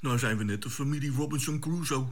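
An actor playing an excitable, wry crewman aboard a spaceship in a radio drama, questioning and a little uneasy. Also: mid-range voice, quick pace, natural voice. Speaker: crew member.

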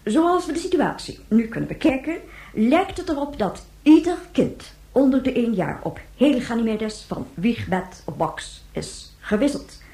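Grave official, mellow, expressive, echoing. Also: female voice, fairly high pitch. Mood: grave, controlled. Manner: formal, emphatic, measured. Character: grave, controlled female government official facing a press interview. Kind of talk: radio drama acting. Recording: roomy, echoing radio drama recording.

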